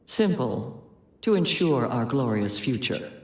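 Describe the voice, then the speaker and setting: Detached bureaucrat boss in a game, clipped n matter of fact, lots of echo. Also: male voice, medium pitch, very animated, measured pace. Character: detached, officious male overseer briefing a field agent. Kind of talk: cinematic video game acting. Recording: echoey, reverberant voice.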